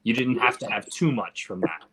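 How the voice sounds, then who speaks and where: A man's voice: medium pitch, expressive, fairly quick, a bit bright, poor audio. A man giving his opinions as a panelist in a casual movie discussion. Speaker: film podcast panelist.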